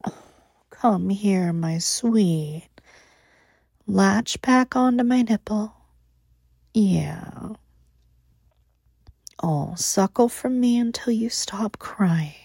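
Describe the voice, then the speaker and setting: A woman playing a doting, protective motherly lover, speaking softly in a cooing, often whispered way close to the mic. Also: mid-range voice, expressive, very slow, creaky, pauses often, breathy edge, no echo, exaggerated voice. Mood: soothing, doting. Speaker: audio roleplay performer. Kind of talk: intimate whispered audio roleplay.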